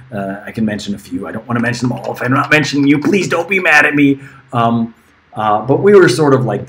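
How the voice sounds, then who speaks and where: A middle-aged man talks casually to viewers on a livestream. His voice sits at an average pitch with a slightly husky grain. He is informal and easily sidetracked, wandering between half-finished thoughts. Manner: rambling, informal, easily sidetracked, chuckling now and then. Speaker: musician livestreaming to friends and fans.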